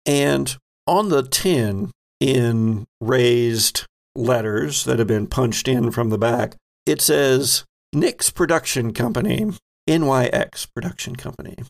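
A man with noticeable fry in his voice acts as game master. He sits at an average male pitch and narrates playfully, improvising as he describes each scene. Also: slow pace. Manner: descriptive, playful storytelling with pop-culture asides. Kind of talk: improvised narration of a tabletop horror-comedy game.

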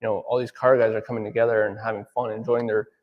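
A man's voice: fairly low pitch, level tone, quick pace, big-room sound, muffled recording. Rambling man in his thirties. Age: 30s. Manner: rambling, filler-heavy, says "right?" often. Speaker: auto detailer, business owner. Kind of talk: casual interview talk.

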